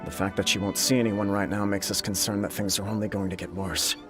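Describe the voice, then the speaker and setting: A man voicing an earnest, burdened young acting clan head in a video game, speaking in a formal, measured manner. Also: on the low side, flat delivery, lightly acted. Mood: grave. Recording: game music underneath.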